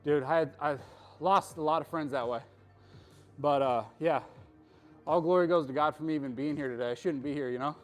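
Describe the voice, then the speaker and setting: A man's voice: fairly high pitch, brisk, soft background music. A man hosting a live workout stream, chatting with viewers between lifts in a joking, hyped-up coaching way. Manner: hyped, joking, bro-style coaching talk.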